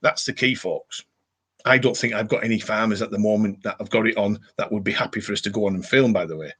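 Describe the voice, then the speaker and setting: A man's voice: on the low side, fast talker, fairly bright tone, husky. A man with a Cumbrian accent casually hosting a live show, chatting and answering viewer comments in a rambling way. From Cumbria, England. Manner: rambling, chatty, repeats catchphrases. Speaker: livestream host.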